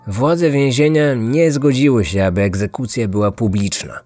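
A man hosting a podcast, reading scripted true-crime narration like a measured storyteller. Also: mid-range voice, darkish tone. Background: faint music.

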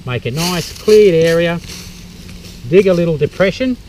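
A middle-aged Australian man with a warm, relaxed voice walks through a bushcraft skill step by step, pausing on fillers as he kneels and works. He is recorded outdoors in a forest by a camera a few metres off. A faint hum of background noise sits under his voice. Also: on the high side, far from the mic, low-quality recording. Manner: practical, explaining step by step with fillers. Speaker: bushcraft instructor and tour guide. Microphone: camera mic from a few metres away.

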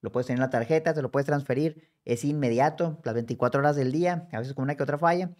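A man, explaining savings options in a lively, conversational tutorial that speaks straight to the viewer. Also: medium pitch. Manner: brisk, conversational, addresses viewer directly. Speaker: personal finance educator. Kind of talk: explanatory screen-share tutorial.

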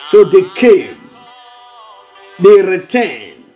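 A man's voice: high voice, very animated, very slow, mellow. A man with a Ghanaian accent preaching a devotional as a pastor, exhorting and repeating his phrases. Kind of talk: devotional sermon with prayer and blessings. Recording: music bed under the voice. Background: music.

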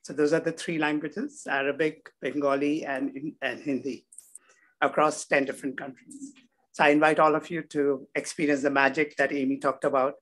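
A man's voice: fairly high pitch, little pitch movement, moderate pace, a bit bright, low-quality recording. A man from India with an Indian accent, giving a spoken comment at a public meeting.

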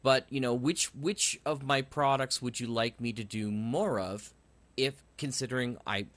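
A man's voice: medium pitch, moderate pace, a bit bright, no echo. A man hosting a conversational interview, phrasing his questions in a roundabout, tentative way. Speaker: podcast host and interviewer.

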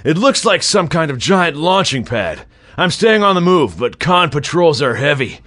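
Urgent, high-voiced robot scout. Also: male voice, sing-song, a bit bright. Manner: urgent, hurried reporting. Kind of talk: video game voice acting.

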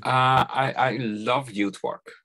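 A man's voice: medium pitch, fairly even intonation, pushed and bright. A middle-aged man from the Netherlands with a Dutch accent, speaking thoughtfully as an interview guest over a video call from a home study. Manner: thoughtful, unhurried, repeats words as he starts sentences. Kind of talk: conversational interview. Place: home study lined with bookshelves.

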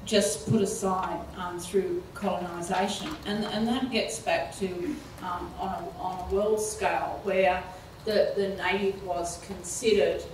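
Slow-talking woman in an echoey hall. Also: fairly low pitch, fairly even intonation, far from the mic, noisy background. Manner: halting, many fillers and restarts, rising into laughing exclamations. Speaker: keynote speaker. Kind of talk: panel discussion. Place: lecture hall, indoors. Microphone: handheld mic.

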